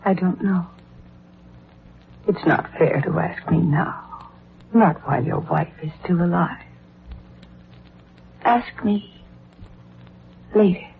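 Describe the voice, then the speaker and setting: Coy mistress on tinny old radio. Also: female voice, on the low side, slow pace, gravelly, long pauses, a little hiss or hum. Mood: evasive. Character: coy, cool-headed mistress of a married man. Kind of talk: 1940s radio drama acting.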